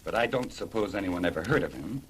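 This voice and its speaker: A man's voice: deep voice, animated, fast talker. A man as an interview guest, trading scripted old-time radio banter on a vintage recording. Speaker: interview guest on a film set. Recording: noisy vintage mid-century radio recording.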